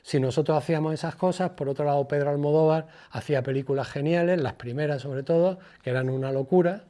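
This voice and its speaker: An older man with a Spanish accent from Spain gives an informal lecture, colloquial and digressive, halting and repeating words as he goes. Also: a little high, dark tone. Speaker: guest lecturer, former radio presenter. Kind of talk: informal public lecture with personal anecdotes.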